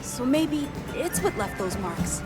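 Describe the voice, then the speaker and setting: An actress voicing an earnest, observant youngster from an expedition party in a video game, with music behind. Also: female voice, on the high side, sing-song, slow pace. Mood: earnest, hesitant. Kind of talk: video game cutscene acting.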